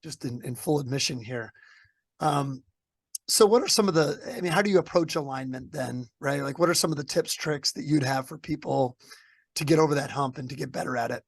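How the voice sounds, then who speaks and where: A man's voice: on the high side, a little fast, creaky, no room sound. Man hosting, scratchy from a cold. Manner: upbeat, casual, many fillers. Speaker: podcast host. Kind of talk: conversational podcast interview.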